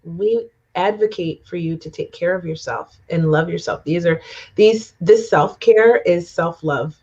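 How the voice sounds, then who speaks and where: A woman co-host speaking in a fairly low voice. She is effusive and gushing, peppering her talk with "um"s. The audio is dull and lo-fi. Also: measured pace, darkish tone, breathy edge. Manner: effusive, gushing praise with many "um"s. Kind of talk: enthusiastic talk show introduction.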